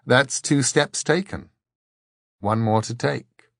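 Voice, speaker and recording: A man's voice: medium pitch, slow pace, creaky, fairly bright tone, no echo, clean studio sound, pitched above the performer's own voice. A man voicing a young, stern monk gatekeeper in an audiobook, formal and riddling, as if reciting ritual words. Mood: solemn approval.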